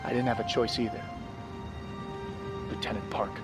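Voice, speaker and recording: A man's voice: fairly low pitch, little pitch movement, normal speed, over loud music, close to an everyday voice. A man voicing a tough, driven special forces commander in a video game cutscene, terse and commanding. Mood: cold, low. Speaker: military squad commander.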